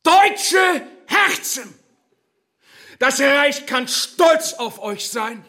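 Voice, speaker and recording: An actor playing a zealous, menacing Nazi recruiter in a German radio drama, declaiming commands with swagger. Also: male voice, very high voice, animated, drawn-out words, pushed and bright, heavily acted. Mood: bombastic, commanding.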